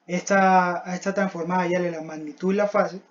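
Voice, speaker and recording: Young adult student, medium pitch, scripted technical presentation, halting step-by-step delivery, dull lo-fi recording. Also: fairly even intonation. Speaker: student presenting a class project.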